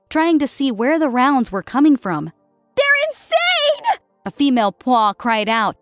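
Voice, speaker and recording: A woman's voice: fairly high pitch, no room sound. A woman narrator reading a scripted, dramatic story as an audiobook.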